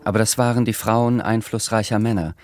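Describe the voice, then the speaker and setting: A man narrating a literary radio drama as a composed, measured storyteller. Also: lowish voice, steady tone, darkish tone, close to an everyday voice.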